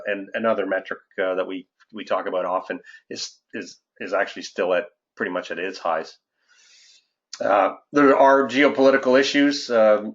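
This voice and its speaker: A man giving measured, somewhat halting financial market commentary on a conference call as an investment adviser. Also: lowish voice. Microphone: conference call line. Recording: dull, lo-fi conference call audio.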